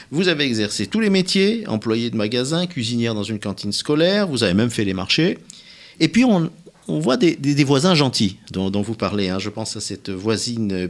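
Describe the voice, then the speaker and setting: A man hosting a radio interview, putting long, deliberate, prepared questions to his guest with some hesitation. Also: mid-range voice, creaky. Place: radio studio.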